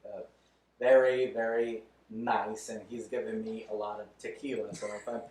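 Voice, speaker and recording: A man's voice: on the low side, little pitch movement, normal speed, darkish tone. A man in his thirties talking loosely and candidly as a podcast guest, chuckling between thoughts. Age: 30s. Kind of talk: casual interview chat. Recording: roomy echo, mic at a distance.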